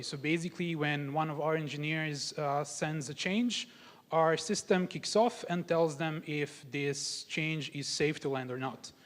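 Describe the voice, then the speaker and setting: A young man from Croatia giving a technical conference talk in a large hall, explaining his points step by step with examples. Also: on the high side. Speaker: conference speaker. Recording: long hall reverb.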